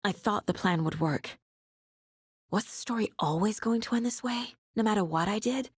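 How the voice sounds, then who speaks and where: A woman playing a serious, analytical investigator and mother in a video game, speaking in a measured, pensive, terse way with a husky voice. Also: medium pitch, dry recording, clean studio sound, close to an everyday voice. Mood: anguished. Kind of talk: dramatic game dialogue, introspective investigation lines.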